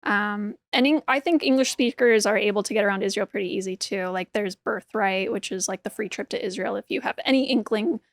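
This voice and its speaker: A woman's voice: medium pitch, fairly quick, few pauses, no echo, clean studio sound. Chatty woman, native Hebrew speaker. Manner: chatty, frequent "like" and "um", easy laughter. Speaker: podcast guest, artist. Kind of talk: casual conversational interview.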